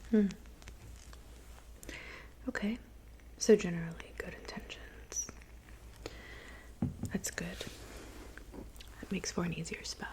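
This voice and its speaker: A woman playing a calm, helpful woodland witch in a soft, whispered ASMR roleplay, unhurried and murmuring. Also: lowish voice, rough recording. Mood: calm, welcoming. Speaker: ASMR performer.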